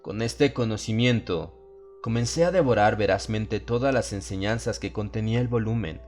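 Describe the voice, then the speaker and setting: A man's voice: lowish voice, normal speed, darkish tone, faint music behind. A man narrating a horror audiobook in a solemn, dramatic style.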